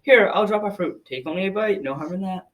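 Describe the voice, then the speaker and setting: A woman's voice: medium pitch, animated, brisk, distant mic, close to an everyday voice. A young adolescent girl playing a sly, coaxing old talking tree in a school radio drama. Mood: sly, dismissive. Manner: coaxing, persuasive.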